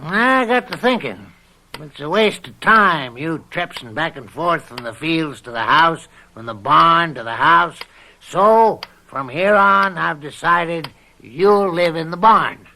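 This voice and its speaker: An actor playing an evil, lecherous old farm uncle in a radio play, slow, bullying and taunting, on an old noisy tape. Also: male voice, a little high, lively intonation, bright, forceful tone, exaggerated voice. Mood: domineering.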